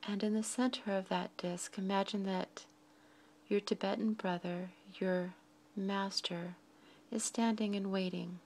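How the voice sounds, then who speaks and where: A woman leads a guided meditation in a soft, breathy voice, speaking slowly and deliberately in short phrases with frequent pauses. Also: medium pitch, flat delivery, slight background noise.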